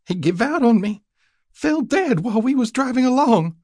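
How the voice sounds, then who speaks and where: Casting: man as helpless young country widow for an audiobook, voice pushed high, gasping and pleading. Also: lively intonation, normal speed, darkish tone, no room sound. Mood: distraught. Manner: breathless, pleading, rustic ungrammatical speech. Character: frightened young widow, gasping, helpless, rural mountain woman. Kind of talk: audiobook character dialogue.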